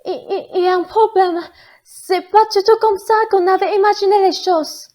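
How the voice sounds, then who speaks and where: Whiny fairy-tale kid acted by a woman, extremely high pitch, upset and protesting, radio drama. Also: fairly even intonation, normal speed, a little mellow. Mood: alarmed. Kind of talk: exaggerated radio play acting.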